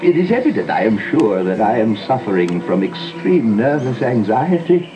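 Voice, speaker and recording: An actor playing an ailing, anxious aristocrat in a gothic audio drama, formal and overwrought, on an old cassette dub. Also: male voice, big pitch swings, normal speed, lo-fi sound, lightly acted. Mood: nervous anguish. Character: ailing, nervously anxious aristocratic master of a gloomy mansion. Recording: old cassette dub re-recorded through boombox mics. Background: music.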